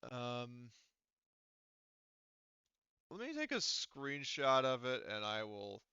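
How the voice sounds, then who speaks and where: A man's voice: mid-range voice, wildly swooping pitch, takes it slowly, pushed and bright, smooth, no room sound, lo-fi sound. A man acting as game master, explaining and narrating an online tabletop game in a casual, hesitant, rambling way.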